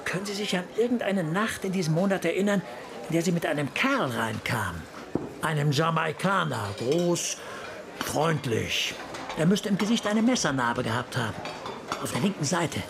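An actor playing a gravelly, courteous homicide cop who flirts as he questions, in a German radio play. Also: a little high, lively intonation, normal speed, noisy background. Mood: flirty, probing.

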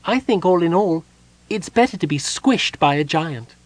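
A chattering little kender with a husky edge and a high, bouncing voice, as a man puts him on. He sounds childlike and endlessly curious, tumbling over his words. The delivery swoops all over the place, lively and loud. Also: moderate pace, close to an everyday voice. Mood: earnest. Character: small excitable male kender, childlike and curious.

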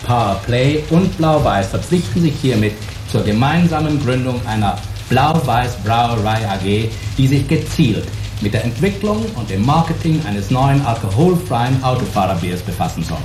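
A man acting as a brisk, authoritative executive who chairs a meeting in a German radio drama, speaking formally and decisively. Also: mid-range voice, reverberant, background noise, natural voice.

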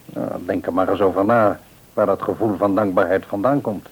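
A reassuring, devout father in a mid-century radio play. His voice is fairly low and noticeably husky. He counsels in a measured, earnest way. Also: steady tone, rough recording. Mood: gently earnest. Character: devout, reassuring father of a household, middle-aged man.

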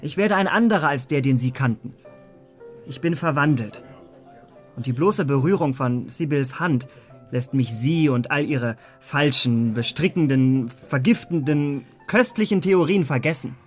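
Radio play: male actor as impressionable young romantic gentleman, effusive and earnest, medium pitch, over music. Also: lively intonation, a little slow, natural voice. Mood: rapturous. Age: young adult. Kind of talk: German radio play acting. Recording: clear music behind the voice.

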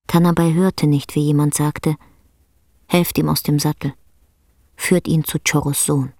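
A woman narrating a literary radio play in a sombre, restrained, husky voice. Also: deep voice, level tone, measured pace, dark tone, dry recording, clean studio sound.

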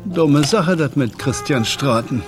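A menacing loan shark in a radio play, with music behind him. His voice is a little high and moves expressively. He is familiar, patronising and probing, with a casual threat underneath. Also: expressive, measured pace. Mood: quietly menacing. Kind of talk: radio drama acting.

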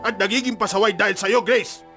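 A man's voice: sits high, steady tone, brisk, bright, forceful tone, faint music behind. An actor playing an angry, exasperated middle-aged father in a radio drama, stern and confrontational as he scolds.

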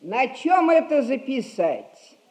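A woman's voice: sits high, big pitch swings, takes it slowly, a little mellow, heavily acted. An actress playing a stern, sarcastic old merchant mother in a Russian stage comedy, with sugary needling and old-fashioned speech. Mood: sarcastic, reproachful. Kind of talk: 1960s Russian stage comedy acting for audio.

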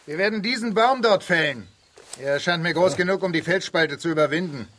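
An actor playing a daring, decisive English lord and adventurer in a German radio drama. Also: male voice, high-pitched, brisk, background noise, lightly acted. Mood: confident. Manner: bold, decisive.

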